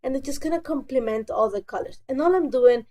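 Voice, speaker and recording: A woman's voice: high-pitched, lively intonation, brisk, dark tone. A woman with a stuffy cold, narrating a scrapbooking tutorial in a chatty voice, often correcting herself and apologizing. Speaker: scrapbooking crafter. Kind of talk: casual voice-over tutorial.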